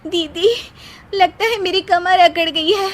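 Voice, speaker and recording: Woman voicing a high, whiny scheming young wife. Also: unhurried. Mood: sugary, whiny pleading. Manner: sweet-talking, complaining. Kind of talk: moral story cartoon voice acting.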